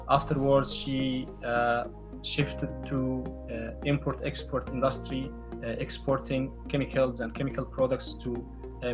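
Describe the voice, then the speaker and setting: A man hosting a webinar, giving a slow introduction that is full of fillers and restarts. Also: mid-range voice. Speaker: webinar host. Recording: music playing in the background.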